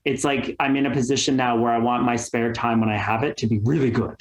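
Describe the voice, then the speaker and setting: A man's voice: medium pitch, fairly even intonation, quick pace, roomy sound, rough recording. A man talking candidly as a guest on a podcast, chatty and full of fillers. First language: English. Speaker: guest YouTuber. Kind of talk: casual podcast chat.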